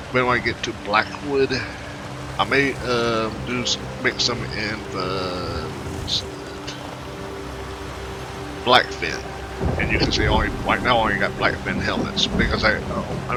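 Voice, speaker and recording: A man giving casual, rambling commentary on his video game playthrough, halting and mumbly, often repeating himself and trailing off. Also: on the low side, heavy background noise. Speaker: gamer narrating his playthrough. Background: game music.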